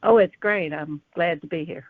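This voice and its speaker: A woman's voice: on the low side, normal speed, dry recording. A woman author answering as an interview guest, explaining in a storytelling style over a narrow phone-like line.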